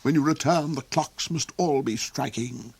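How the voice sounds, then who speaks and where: A man voicing a cold, cruel old duke who speaks slowly and mockingly, sometimes sinking to a hissing whisper. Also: medium pitch, creaky, slightly rough, dry recording, voice pushed up. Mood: sneering. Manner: slow, silky, mocking, drops into hissing whispers. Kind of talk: audiobook character voice.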